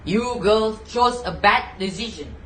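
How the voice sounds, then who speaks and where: A woman's voice: medium pitch, animated, unhurried, a bit bright. A woman playing a stern, taunting campus security guard in an amateur reader's theater piece. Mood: gloating, stern. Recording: echoey room, faint noise.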